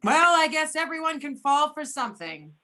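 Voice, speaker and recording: A woman's voice: high-pitched, very animated, moderate pace, bright, forceful tone, full character voice. A woman playing a skeptical, level-headed field agent, reading in an amateur readers theater over a video call. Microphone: video or conference call.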